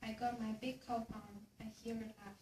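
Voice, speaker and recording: A woman's voice: a little high, flat delivery, fairly quick, dark tone. A young woman reading scripted lines aloud as a student in a readers theater group. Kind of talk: scripted recitation for a speech class. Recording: echoey room, distant mic, clear noise.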